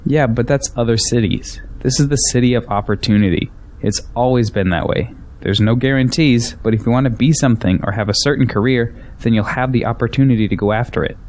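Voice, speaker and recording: A man's voice: mid-range voice, brisk, darkish tone, slight background noise, lightly acted. A man playing a defensive, ambitious young businessman in an audio drama, arguing skeptically and proudly. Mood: skeptical, resistant. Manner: defensive, argumentative.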